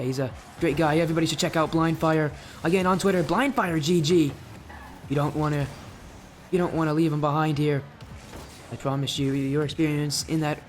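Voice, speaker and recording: High-voiced young male esports caster. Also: slight background noise. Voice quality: high-pitched. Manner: chatty, rambling, replies to chat mid-play. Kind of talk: live game commentary.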